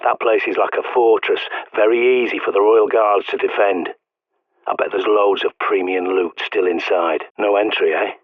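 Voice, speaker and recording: Game role: a husky, low-voiced British prepper on the radio, blunt, cynical, profane. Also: male voice, fairly even intonation, normal speed, no room sound. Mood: gruff. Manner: sardonic, blunt, swears often. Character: gruff male survivalist radio guide, cynical. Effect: radio transmission. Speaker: radio guide character. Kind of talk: video game voice acting. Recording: tinny radio line.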